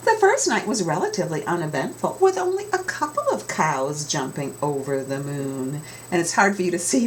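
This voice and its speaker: A middle-aged woman reading a picture book aloud to children theatrically, with drawn-out emphasis, in a small home room. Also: low-pitched. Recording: clear background room noise.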